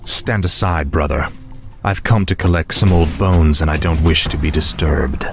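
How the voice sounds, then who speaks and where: A low-voiced young prince fallen to darkness, grim and remorseless. He speaks with cold arrogance in a fantasy game cinematic, faint music behind him. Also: steady tone, normal speed. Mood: haughty, menacing. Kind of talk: video game cinematic acting.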